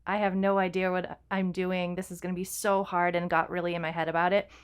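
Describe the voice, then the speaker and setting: A woman's voice: lowish voice, little pitch movement, a little fast, clean tone. A woman co-hosting a podcast, talking casually and explaining things in an informal way. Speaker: podcast co-host and fiction writer.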